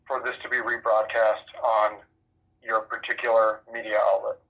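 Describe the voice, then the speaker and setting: Male official, American, bright forceful tone over a phone line, measured and firm, formally fielding press questions. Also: on the low side, little pitch movement. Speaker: sheriff's office representative. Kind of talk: formal answers to a press query.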